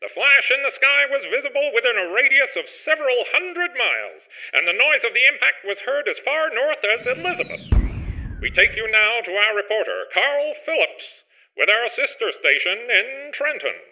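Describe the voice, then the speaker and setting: A man's voice: very high voice, very animated, normal speed, exaggerated voice. An actor playing an urgent male radio news announcer, delivering a formal, dramatic bulletin in old-time style. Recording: dull lo-fi radio sound.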